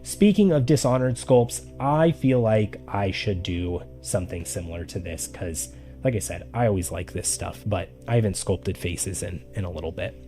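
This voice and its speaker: A man giving casual art critique on a live stream, talking loosely with lots of fillers, his voice getting raspy. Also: sits low, animated, moderate pace, dark tone. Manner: loose and chatty, with many "like" fillers. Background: faint background music.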